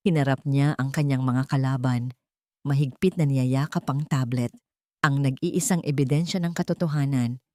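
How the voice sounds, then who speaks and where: A woman narrating a scripted dramatic story in measured, suspenseful phrasing. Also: rumbling low, fairly even intonation, mellow, no echo, clean studio sound.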